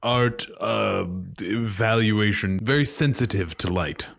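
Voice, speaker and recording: A man's voice: lowish voice, slow, gravelly, a bit bright. A man playing a tabletop roleplaying game, talking casually and in character.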